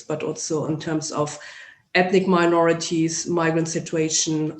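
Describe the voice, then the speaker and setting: A woman from Germany with a German accent, a sociology lecturer speaking as a guest in a podcast interview, thoughtful and academic, searching for words. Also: on the low side. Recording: roomy echo.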